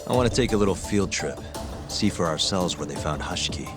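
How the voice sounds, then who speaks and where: A sharp-minded young detective in a video game. The voice is low and composed, with dry, probing delivery. Music is clearly audible underneath. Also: male voice, animated, fairly quick. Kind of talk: video game cutscene acting.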